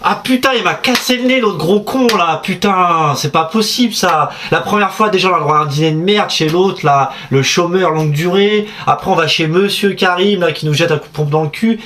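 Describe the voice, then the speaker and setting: A man's voice: high-pitched, fairly quick, few pauses, a bit bright, a little hiss or hum. A man in his twenties playing a fussy nerd who lives with his mother, ranting in whiny complaints. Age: 20s.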